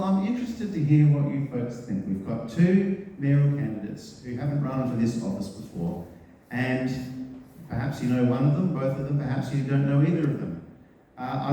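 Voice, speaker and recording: A man hosting a public forum in a town hall, introducing the guests in a relaxed, friendly way. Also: mid-range voice. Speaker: forum host. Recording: echoey hall from far off.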